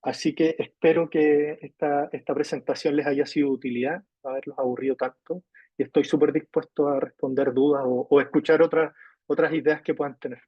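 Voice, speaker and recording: A man's voice: on the high side, fairly even intonation, fairly quick, breathy edge, no echo. A man with a Chilean accent presenting a webinar in an explanatory, emphatic way, posing questions and answering them himself. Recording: muffled lo-fi webinar audio.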